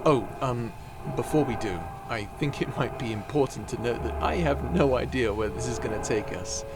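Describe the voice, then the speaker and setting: A man playing an excitable, cheeky adventurer in an ASMR roleplay drama, animated and theatrical with a stammering, jokey delivery. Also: mid-range voice, normal speed, noisy background, natural voice. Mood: nervous, eager.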